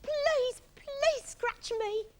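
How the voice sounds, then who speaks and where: Woman putting on a high, whining young iguana. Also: big pitch swings, takes it slowly, noisy background, full character voice. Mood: pleading. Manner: whining, yelping and pleading. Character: bad-tempered, tearful young male iguana with an itch.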